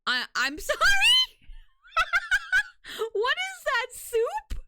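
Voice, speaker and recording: A woman's voice: extremely high pitch, very slow, no room sound, studio quality. A woman chatting casually as a livestreamer, candid and freely swearing, telling things in a dramatic, self-deprecating way. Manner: animated, dramatic storytelling.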